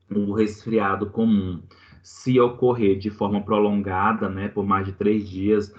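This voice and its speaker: A man's voice: fairly low pitch, fairly bright tone, lo-fi sound. A man giving a live online lecture on treating colds and flu, explaining step by step and checking in with the class. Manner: explanatory, many restarts and "tá?" checks. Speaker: pharmacy lecturer.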